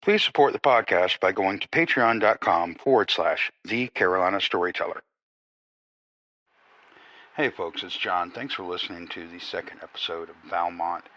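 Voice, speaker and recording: Male host for scripted podcast credits: very low pitch, bright projection, guitar bed. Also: normal speed, dry recording, low-quality recording. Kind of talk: scripted credits and sponsor read. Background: guitar music.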